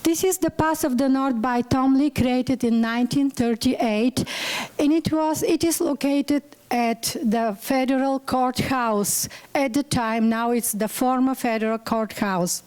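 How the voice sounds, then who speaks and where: A woman's voice: sits high, a little slow, a little mellow, smooth, low-quality recording. A woman from Bulgaria with a Bulgarian accent, a museum director giving an earnest symposium talk and sometimes searching for words. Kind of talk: symposium presentation.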